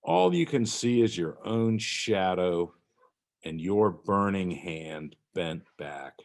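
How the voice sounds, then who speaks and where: A man reading a dramatic monologue as a harried, callous Roman guard, gruff, taunting and defensive. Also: low voice, expressive, slow, full character voice. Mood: taunting, pitying.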